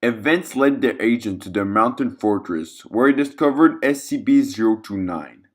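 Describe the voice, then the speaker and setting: A man reading a scripted, clinical containment report in a formal, measured way as narrator of a fiction audio log. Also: lowish voice, expressive.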